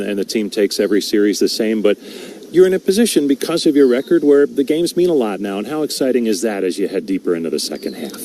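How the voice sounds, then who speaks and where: Radio sports host, mellow tone, easy conversational delivery. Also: male voice, lowish voice, a little hiss or hum. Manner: conversational questions, some restarts and fillers. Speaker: radio pregame show host. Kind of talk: radio interview and show hosting.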